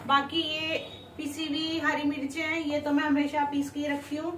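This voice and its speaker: A woman's voice: high voice, flat delivery, normal speed, noisy background. A young woman in her twenties chatting to camera in a casual home vlog while cooking. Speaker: vlogger. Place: small home kitchen and living room indoors.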